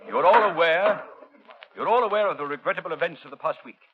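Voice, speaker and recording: A man playing an officious, anxious opera board chairman in a 1930s radio drama, with a husky voice and formal, brisk delivery. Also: a little high, lightly acted. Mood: grave, formal. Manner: formal, brisk, speech-making. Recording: dull, band-limited 1930s radio recording.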